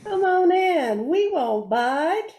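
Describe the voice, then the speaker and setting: A woman's voice: extremely high pitch, sing-song, slow pace, a little mellow, full character voice. A woman playing a hospitable Cajun bayou storyteller in an amateur radio play, recorded in an echoey room. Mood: welcoming. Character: hospitable Cajun bayou woman telling local Christmas lore.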